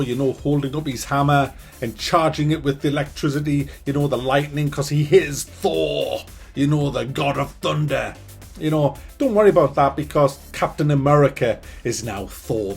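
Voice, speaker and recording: A man's voice: fairly high pitch, vocal fry, husky. A man giving a ranting film review, sarcastic and full of swearing and stammered restarts.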